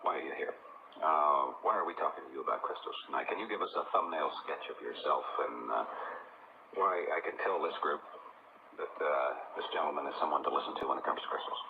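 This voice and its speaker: A man with an extremely deep voice hosts a radio talk show. He talks informally and takes his time, pausing as he goes. The recording is an old 1980s broadcast, phone-like in tone with clear background noise. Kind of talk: conversational radio interviewing.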